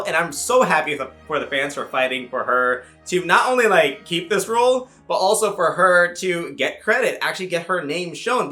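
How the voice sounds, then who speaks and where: A man's voice: fairly high pitch, bright, forceful tone. A man around thirty giving a casual, effusive, sassy and comedic review full of slang. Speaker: YouTube movie reviewer.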